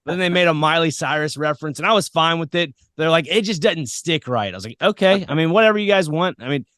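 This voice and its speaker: A man's voice: fairly high pitch, fast talker, fairly bright tone, no echo, clean studio sound. A man hosting a podcast in a casual, informal, anecdotal chat style. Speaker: podcast host.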